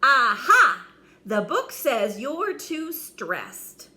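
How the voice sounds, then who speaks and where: A woman voicing an earnest, friendly young boy knight, animated and encouraging, in a storybook. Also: sits high, extremely slow, exaggerated voice. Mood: excited.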